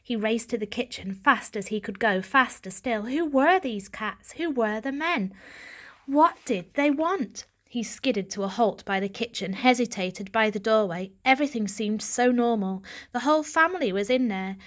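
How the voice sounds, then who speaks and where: A woman narrating a children's story aloud with an expressive, dramatic delivery. Her voice sits fairly high. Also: moderate pace. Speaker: audiobook reader.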